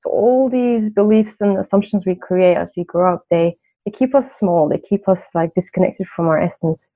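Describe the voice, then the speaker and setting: A woman's voice: on the low side, normal speed. A woman from Turkey, a coach speaking as a podcast guest in a reflective way, with many "you know" fillers. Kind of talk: podcast interview. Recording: tinny phone-line audio.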